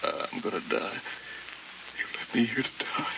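A man's voice: on the low side, very animated, normal speed, gravelly. An actor playing a desperate, pleading man buried alive in an old radio horror play, melodramatic and gasping. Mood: despairing. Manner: anguished, melodramatic, gasping between pleas. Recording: dull, noisy old radio recording, distant.

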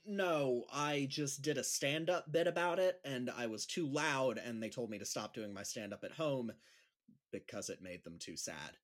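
A man's voice: medium pitch, expressive, moderate pace, lightly acted. A man acting in an audio drama as a lonely, awkward school teacher, hesitant, earnest and self-deprecating. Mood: sad, confessional.

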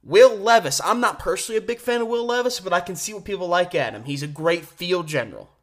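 A man hosting a sports radio show solo, giving opinionated, emphatic commentary with deliberate pauses and rhetorical questions. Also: sits high, expressive.

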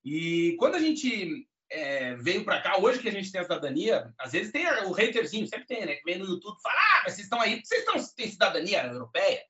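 A gaúcho man from southern Brazil talks with lively, joking energy in a high, bright voice. The sound is muffled and lo-fi. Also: brisk, roomy sound. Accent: Rio Grande do Sul (gaúcho). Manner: lively, animated, jokey talk. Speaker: podcast guest. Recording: muffled lo-fi audio.

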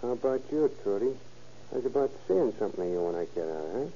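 A wisecracking American prisoner, talks in slangy, streetwise style. The pitch swings freely and the sound is dull, with faint noise, like an old radio crime play. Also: male voice, very animated, quick pace, dark tone. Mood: sly, flirty. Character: wisecracking male prisoner made jail trustee. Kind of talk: 1930s radio crime drama acting.

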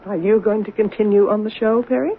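A woman's voice: mid-range voice, fairly even intonation, a little fast, natural voice. A woman playing a proud, indignant middle-aged actress in an old-time radio drama. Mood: hurt fury. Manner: theatrical, incredulous. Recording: narrow tinny old radio, faint hiss.

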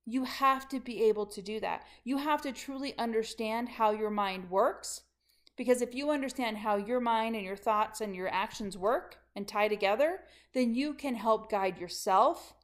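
A middle-aged woman hosts a solo podcast, speaking with a slightly high voice. She tells her own story in a candid, emphatic way and keeps checking in with her listeners. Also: normal speed. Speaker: podcast host and coach. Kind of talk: personal storytelling monologue.

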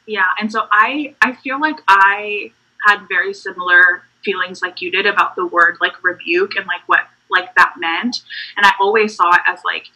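Podcast guest: young woman from Puerto Rico, very bright tone, a bit husky, easygoing. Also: medium pitch, normal speed, low-quality recording. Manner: warm, laughing, filler-heavy. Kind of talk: casual faith chat.

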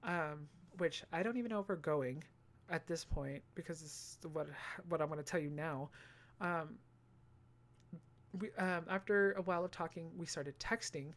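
Young guy w a high voice venting, sassy, swears a lot, super animated. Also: moderate pace. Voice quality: high-pitched voice. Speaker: vlogger. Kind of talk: casual storytelling monologue.

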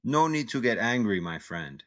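A man's voice: fairly low pitch, big pitch swings, moderate pace, fairly bright tone. A man voicing a menacing male crime boss who speaks clipped, broken English.

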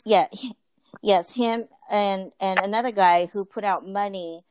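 A woman's voice: mid-range voice, measured pace, slight background noise. A woman in a casual group conversation, talking earnestly with many fillers and restarts. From Filipino family.

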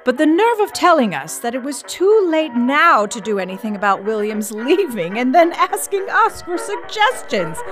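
A woman's voice: high voice, big pitch swings, moderate pace, hardly any pauses, fairly bright tone. Outspoken early-1900s woman trustee, indignant and articulate, dramatic letter reading with prominent music behind. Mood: defiant, satisfied. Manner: emphatic, articulate, tart.

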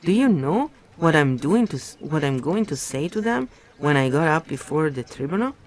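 A man's voice: a little high, big pitch swings, moderate pace, rough recording. A man doing a blubbering, frightened pudgy prisoner. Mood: eager. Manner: blubbering, pleading, eager. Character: pudgy frightened middle-aged man, servile and eager.

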